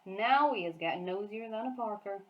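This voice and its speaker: A woman voicing a huge, gentle, emotional male giant from a storybook, who talks in muddled grammar and made-up words. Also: mid-range voice, moderate pace, highs cut off, natural voice. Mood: suspicious, emphatic.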